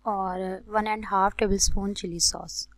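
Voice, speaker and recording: A woman with a Pakistani accent narrates a recipe tutorial as a cooking host, in a measured, instructional way. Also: mid-range voice, steady tone, no room sound.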